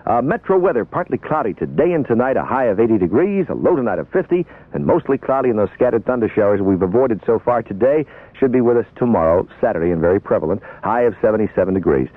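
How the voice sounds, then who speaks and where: A man with a raspy voice working as a radio DJ, chatting and rambling through oldies memories with fillers. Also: fairly low pitch, sing-song, fast talker, few pauses. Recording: tinny narrow-band radio aircheck.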